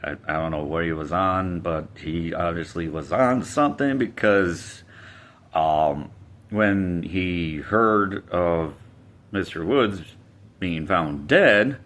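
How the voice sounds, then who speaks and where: A man's voice: low-pitched, takes it slowly, fairly bright tone. A man casually telling a personal story, hesitant, with fillers and restarts.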